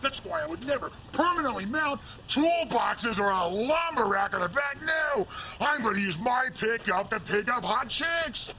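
A middle-aged man giving an infomercial sales pitch as an inventor, excitable and bellowing, pushing hard for the sale. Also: moderate pace, few pauses, low-quality recording. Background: music.

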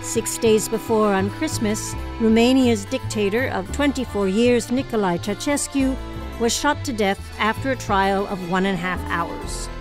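A woman's voice: medium pitch, moderate pace. Woman podcast host narrating over music. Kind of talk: scripted narration.

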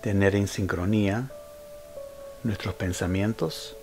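Low-pitched man guiding a slow meditation. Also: a little mellow. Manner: unhurried instructional delivery with frequent pauses. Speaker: meditation guide. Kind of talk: guided meditation. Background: background music.

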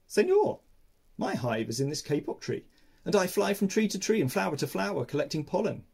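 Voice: mid-range voice, very animated, fairly quick, creaky, a little mellow, husky, voice pushed up, full character voice. A storyteller voicing a busy bee from a rainforest storybook. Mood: earnest. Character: buzzing bee, busy and friendly.

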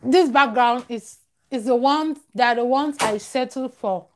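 A woman's voice: on the high side, a little slow, slight background noise. A young woman chatting casually on a live stream, emphatic and repeating phrases for stress. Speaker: vlogger, live-stream host. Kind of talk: casual live-stream chat, advice to viewers. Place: small room at home, close to a plain wall. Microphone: clip-on lavalier at the collar.

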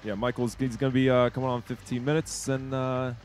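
A man co-hosting a live video game show in casual, excitable, joking chat. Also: medium pitch, brisk, faint music behind. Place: studio, live group show.

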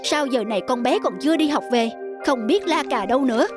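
Casting: anxious older cartoon housekeeper, caring, fretful, fairly high voice. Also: female voice, animated, brisk, music in the background. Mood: worried. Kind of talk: cartoon dialogue.

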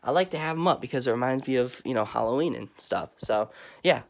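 A young man with a stuffy, cold-blocked voice, chatting casually in a rambling way as he gives a vlog tour of his dark bedroom, recorded on a phone held at arm's length. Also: medium pitch, lively intonation, quick pace. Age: young adult. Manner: chatty, rambling, lots of fillers. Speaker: vlogger. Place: dark bedroom lit by Halloween lights. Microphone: handheld phone camera at arm's length.

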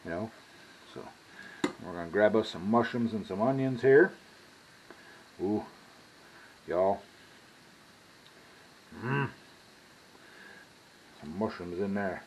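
A man's voice: lowish voice, animated, darkish tone. An American man in his sixties with a folksy manner, casually talking through a cooking demonstration as a home cook. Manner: folksy, drawn-out asides with y'all. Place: home kitchen, indoors at the stove. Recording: clearly noisy home kitchen recording.

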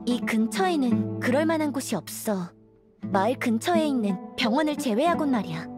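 A woman's voice: a little high, animated, normal speed, close to an everyday voice. A woman voicing a guarded, melancholy young village outsider in a video game, speaking earnestly in a subdued, confiding way. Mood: serious, hushed. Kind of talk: video game character dialogue. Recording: faint background music.

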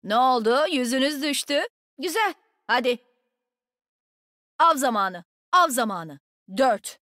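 Casting: nimble young female game agent. Bright-spirited taunter, very animated delivery, sassy and sure of herself, dry close studio sound. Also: high voice, takes it slowly, dry recording, exaggerated voice. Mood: playful, bossy. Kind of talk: video game combat voicelines.

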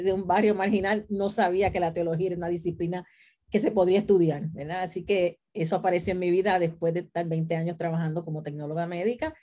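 A woman's voice: fairly low pitch, warm tone. A middle-aged woman with a Puerto Rican accent, talking conversationally on a video call and often checking in with tag questions. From Puerto Rico. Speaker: theology professor, panelist. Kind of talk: conversational self-introduction. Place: indoor room with bookshelves, video call. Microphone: computer mic on a video call.

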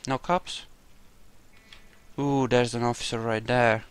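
A man's voice: mid-range voice, level tone, slow pace, smooth. A man giving casual roleplay commentary over a video game, with wry, jokey asides. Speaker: gamer narrating a playthrough.

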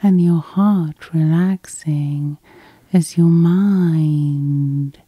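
A woman leading a guided sleep hypnosis in a soft, soothing half-whisper, close to the microphone. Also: sits low, fairly even intonation, extremely slow, slightly rough, dry recording, highs cut off.

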